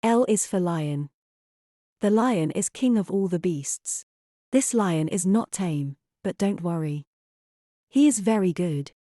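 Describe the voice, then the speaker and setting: A woman playing a gentle, composed young English mother, speaking in a measured way in an audio drama. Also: on the low side, lively intonation, no echo, studio quality. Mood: gentle reading. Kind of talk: audio drama acting, reading aloud.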